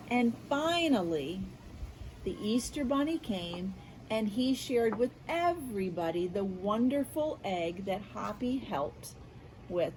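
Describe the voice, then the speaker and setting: An American woman leads a read-aloud lesson for young children. She speaks slowly in a medium-pitched voice, expressive and encouraging, leaving room for answers. Faint noise sits behind her. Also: rough recording. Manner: expressive, encouraging, asks questions and pauses for answers. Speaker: school media specialist reading aloud to children.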